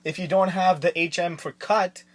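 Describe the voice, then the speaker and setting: Co-host, man from India, playful and quick to joke, slightly high voice. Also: steady tone. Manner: joking, playful. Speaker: podcast co-host. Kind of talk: casual chat.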